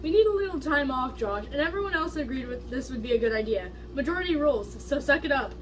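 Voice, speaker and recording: A woman's voice: high-pitched, lively intonation, fast talker. A woman reading the part of a level-headed, exasperated male best friend in a fan fiction story, speaking emphatically. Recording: distant mic, faint background noise.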